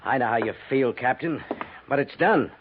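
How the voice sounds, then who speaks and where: A man acting a firm, level-headed middle-aged American army major with brisk authority, in 1950s radio drama style. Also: medium pitch, natural voice. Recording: tinny, narrow, noisy 1950s radio recording.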